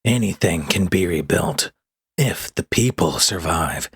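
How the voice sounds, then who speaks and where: Very gravelly alien rebel leader, man acting. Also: deep voice, lively intonation, fairly bright tone, full character voice. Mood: urgent, resolute. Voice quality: gravelly, husky. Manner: earnest, formal, deliberate. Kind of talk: comic dub voice acting.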